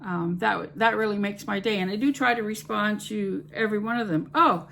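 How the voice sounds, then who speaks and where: A woman's voice: mid-range voice, moderate pace, creaky, a bit bright, slight background noise. A middle-aged woman with a Canadian accent, hosting a home cooking channel and chatting to camera in a rambling, friendly way. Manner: rambling, friendly, with fillers and restarts. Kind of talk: chatty vlog talk to camera.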